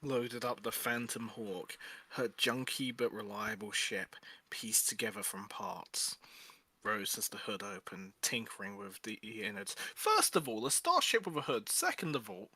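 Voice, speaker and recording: Bright-voiced man narrating with joking asides. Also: lowish voice, lively intonation, a little slow, breathy edge, dry recording. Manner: jokey, breaks from the script to banter. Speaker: narrator in a group script reading.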